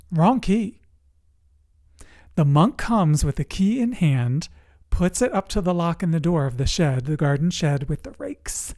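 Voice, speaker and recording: Mellow, middle-aged storyteller. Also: medium pitch, no room sound, clean studio sound. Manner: expressive, deliberate, with dramatic pauses and repetition. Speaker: emotional resilience coach and show host. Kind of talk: conversational personal storytelling to camera.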